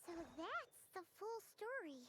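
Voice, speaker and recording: A woman voicing a tiny, excitable, childlike companion in a cartoonish fantasy game, with a squeaky voice and music behind. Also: unhurried, background noise, exaggerated voice. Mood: attentive.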